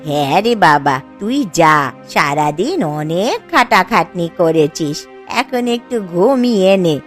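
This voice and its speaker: A woman doing an anxious old village mother in a cartoon, with slow, drawn-out words. Her pitch climbs and falls a lot as she frets. Soft music plays under her. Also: expressive. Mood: tender. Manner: anxious, mournful.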